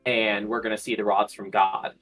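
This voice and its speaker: A man's voice: lowish voice, little pitch movement, normal speed, fairly bright tone, faint music behind. A man in a casual speculative discussion on a livestream panel, repeating phrases as he reasons things through.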